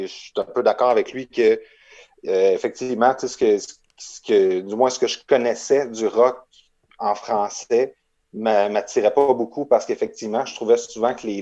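A man's voice: fairly low pitch, steady tone, moderate pace, dark tone, dull audio. A man with a Québécois accent, a musician guest reflecting earnestly in a casual interview.

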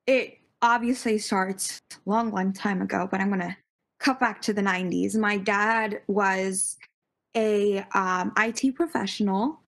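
A woman's voice: medium pitch, steady tone, measured pace, a bit bright. A young American woman, a podcast guest, chatting casually with bubbly enthusiasm and frequent laughter. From United States (born Virginia, raised Connecticut).

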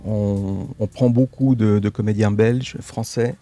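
A man's voice: on the low side, fairly even intonation, a little slow, soft background music. A man, an actor guest on a radio show, who talks hesitantly and often repeats his words. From Switzerland. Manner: hesitant, repeats words, soft trailing phrases. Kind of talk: radio interview.

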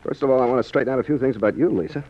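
An actor playing a wry, defiant gentleman sleuth in a 1940s radio drama, brisk and self-assured. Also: mid-range voice, close to an everyday voice. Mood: weary, pointed. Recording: muffled, hissy old radio recording.

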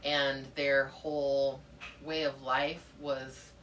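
A soft-spoken woman co-hosting a casual book club podcast, talking in a thoughtful way. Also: a bass voice, flat delivery, takes it slowly. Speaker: podcast co-host. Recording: distant mic with faint noise.

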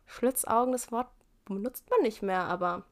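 A woman's voice: fairly high pitch, moderate pace. Young woman talking candidly. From Germany. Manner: candid, thoughtful, with soft laughs. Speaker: interview guest. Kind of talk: casual conversation.

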